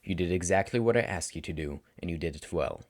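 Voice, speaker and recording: A man voicing a weary male teacher, gruff but gentle, who chooses his words carefully in a story reading. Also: deep voice, voice pushed down. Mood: firm, gentle. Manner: measured, careful, deliberate.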